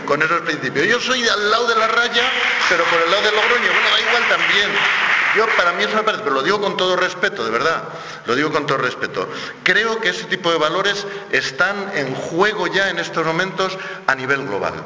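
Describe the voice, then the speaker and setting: A man from Spain speaking on a debate panel in an animated, colloquial, emphatic way. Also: high voice, fairly quick, very noisy. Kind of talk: round-table debate. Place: theatre school hall. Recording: echoey hall, dull sound.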